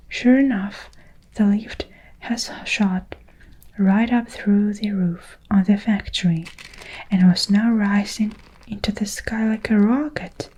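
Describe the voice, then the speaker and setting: A woman reading a children's storybook aloud in a steady, hushed whisper. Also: mid-range voice, poor audio.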